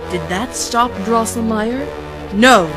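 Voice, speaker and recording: A woman's voice: on the high side, slow pace, music in the background. A woman narrating a rhymed storybook parody in a theatrical, playful way, drawing out words for dramatic emphasis.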